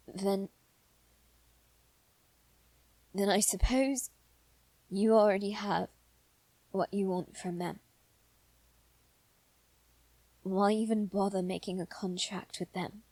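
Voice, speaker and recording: Slow, halting young nobleman boy played by a woman. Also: mid-range voice, fairly even intonation, mellow, no echo. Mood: reluctant, resigned. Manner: hesitant, halting, repeating phrase openings. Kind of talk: scripted ASMR roleplay spoken to the listener.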